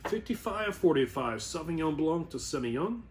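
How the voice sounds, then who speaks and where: A man's voice: fairly high pitch, big pitch swings, moderate pace, a little hiss or hum. A man presenting a wine review in a casual, rambling explainer style, often correcting himself as he goes. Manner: informal, rambling, self-correcting.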